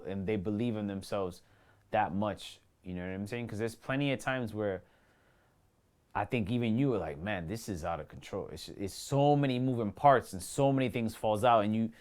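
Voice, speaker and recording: Male actor as interview guest, New York accent, mid pitch, loose street slang, conversational, circles back on his phrases. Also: clear, resonant tone. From New York City. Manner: slangy, repeats himself, acts out quoted remarks. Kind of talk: casual interview chat.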